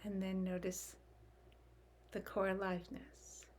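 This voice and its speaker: A woman leading a guided meditation in a gentle, soft, unhurried way, leaving long calming pauses. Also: medium pitch, a little hiss or hum.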